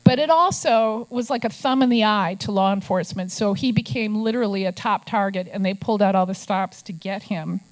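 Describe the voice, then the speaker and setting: A woman's voice: medium pitch, a little fast, hardly any pauses, low-quality recording. An older woman from Minnesota, an investigative journalist telling stories to a press club audience with wry asides. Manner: storytelling, wry asides, puts on gruff quoted voices.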